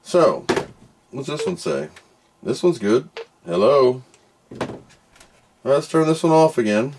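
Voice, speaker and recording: A man's voice: mid-range voice, sing-song, slow, a little mellow, a little hiss or hum. A man doing casual hands-on product commentary, muttering to himself as he works and reading out screens. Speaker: product reviewer doing an unboxing and test.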